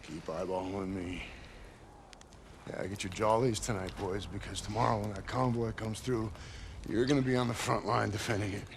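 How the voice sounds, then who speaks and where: An actor playing a tough, grieving army sergeant in a game cutscene, menacing and gruff. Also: male voice, on the low side, steady tone, moderate pace, background noise.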